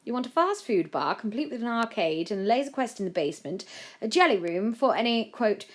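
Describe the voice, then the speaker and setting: A woman's voice: fairly high pitch, sing-song, fairly quick, pitched above the performer's own voice. A woman reader voicing a formal, dutiful male aide who explains things deferentially, like a butler. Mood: weary.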